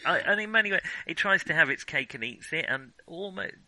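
A middle-aged man with a very bright, forward voice chats as a podcast co-host. His pitch moves in a lively way, and the sound is close and dry. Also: brisk, no echo, rough recording. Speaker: podcast co-host discussing films. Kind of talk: casual discussion.

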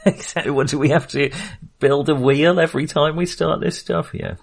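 A man's voice: fairly high pitch, fairly even intonation, normal speed, creaky, slightly rough. A man co-hosting a podcast, talking casually and informally in a film discussion. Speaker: podcast co-host.

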